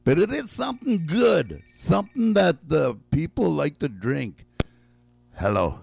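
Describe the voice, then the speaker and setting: Man, radio call-in game host, losing his voice, slow drawn-out talk, very gravelly, lively pitch. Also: soft background music. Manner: drawn-out, repeats each guess slowly, chuckles. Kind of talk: call-in guessing game on air.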